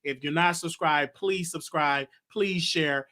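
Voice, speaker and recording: Bright-toned host running a live call-in show. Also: male voice, on the high side, slow pace. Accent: Texan. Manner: laid-back, murmurs short agreements. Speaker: host taking callers.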